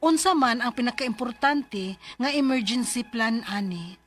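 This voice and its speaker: A woman's voice: a little high, measured pace, a bit bright. An actress playing an anguished mother in an emotional, pleading radio drama style. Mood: troubled, earnest. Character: anguished mother pleading for her sick adult child. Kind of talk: 90s Bisaya radio drama acting.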